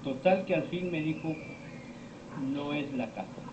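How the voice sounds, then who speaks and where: Slow-talking man lecturing in echoey room. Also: on the high side. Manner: slow, rambling storytelling with many pauses and quoted dialogue. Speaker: teacher of esoteric topics. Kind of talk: informal lecture to students. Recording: echoey room, distant mic, dull lo-fi sound, noisy.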